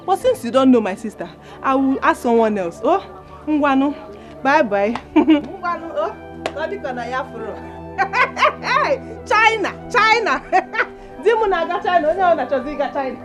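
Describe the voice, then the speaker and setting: A woman with a Nigerian accent playing an excitable young wife who giggles and brags. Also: high-pitched, moderate pace, soft background music. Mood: pleading. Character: giddy young wife bragging about a car.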